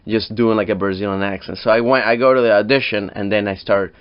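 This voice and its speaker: Man, Venezuelan accent, lowish pitch, casual comic storytelling, podcast guest, slightly dull audio. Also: steady tone, quick pace, smooth. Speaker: podcast guest, comedian and voice actor.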